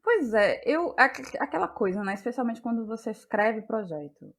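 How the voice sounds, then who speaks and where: A woman's voice: a little high, expressive, normal speed. A woman, reflecting on her creative process as an interview guest, searching for words and repeating them as ideas form. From Bahia, Brazil. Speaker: interviewed guest, playwright and actress.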